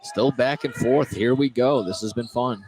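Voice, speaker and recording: A man giving casual, conversational color commentary at a live basketball game, with halting phrasing. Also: medium pitch, darkish tone. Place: indoor high school basketball gym, courtside. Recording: live gym broadcast, crowd noise behind. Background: clear crowd noise.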